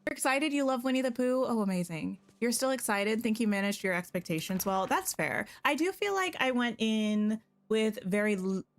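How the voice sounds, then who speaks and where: A woman's voice: fairly high pitch, expressive, moderate pace, hardly any pauses. Chatty woman streamer, slightly scratchy voice. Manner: chatty, greets and answers viewers, thinks aloud. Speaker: game streamer. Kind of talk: casual live-stream chat while playing.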